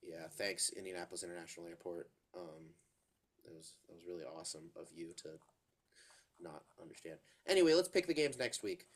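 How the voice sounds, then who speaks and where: A man's voice: low-pitched, animated, fairly quick. Congested young man hosting sports chat. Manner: rambling, many fillers and restarts. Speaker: podcast co-host.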